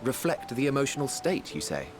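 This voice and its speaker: A man's voice: mid-range voice, big pitch swings, brisk, music in the background, lightly acted. An actor playing a composed, probing man in a video game, inquiring in formal phrasing. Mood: probing, curious. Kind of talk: scripted video game cutscene acting.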